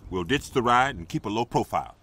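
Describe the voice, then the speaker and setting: An actor playing a gruff, commanding American army squad leader in a video game, blunt in manner. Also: male voice, mid-range voice, expressive, quick pace, lightly acted. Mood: weary command. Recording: loud vehicle and engine noise.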